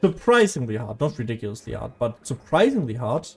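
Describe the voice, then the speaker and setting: A man's voice: medium pitch, very animated, fairly quick, vocal fry, husky, soft background music. A man giving casual, rambling video game commentary, often losing his train of thought like a streamer talking as he plays.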